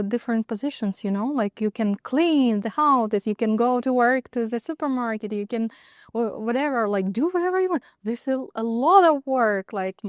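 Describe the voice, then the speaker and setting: A woman with a Ukrainian accent speaking on a roundtable panel, halting and restarting her sentences. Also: on the high side, dry recording, studio quality. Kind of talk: roundtable discussion.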